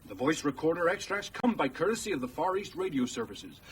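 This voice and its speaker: A man's voice: on the high side, very animated, brisk, distant mic, a little hiss or hum. A man acting in a radio drama as a pushy American current affairs presenter, formal and insistent on air. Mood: pointed, formal.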